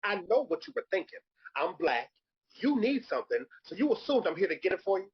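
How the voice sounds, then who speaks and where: A man's voice: high-pitched, sing-song, a little fast, slightly rough, highs cut off, heavily acted. An actor playing a sardonic, unimpressed, streetwise man in a comedic table read, talking in a pointed, conversational way. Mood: affronted.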